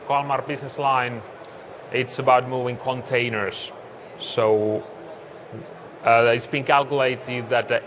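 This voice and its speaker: A man from Finland with a Finnish accent gives a business presentation as a conference speaker. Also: mid-range voice. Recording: clearly noisy live room recording.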